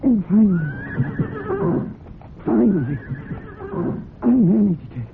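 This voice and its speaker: A guilt-ridden, desperate woman in a radio mystery. Her delivery is deliberate and intense, with halting phrases. The sound is narrow and phone-like, with clear noise over it. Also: medium pitch, expressive, heavily acted. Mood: anguished, intense. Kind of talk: dramatic radio mystery acting. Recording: noisy, narrow-band old radio recording.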